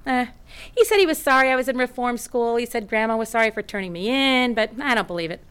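A woman's voice: on the high side, animated, fast talker, a bit bright, smooth. An actress playing a wounded, defiant eleven-year-old girl in a radio drama, blunt and protesting. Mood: stubborn.

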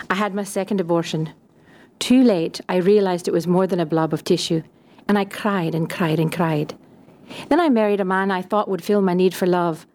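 A woman from Scotland narrates a scripted personal testimony. Her voice is soft-edged and mellow, sitting at a medium pitch.

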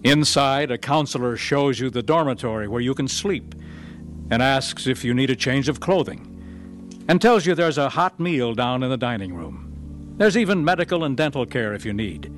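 Man, radio drama announcer, measured earnest delivery, mid-pitched voice, faint music underneath. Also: lively intonation. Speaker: announcer and narrator. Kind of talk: scripted radio drama narration.

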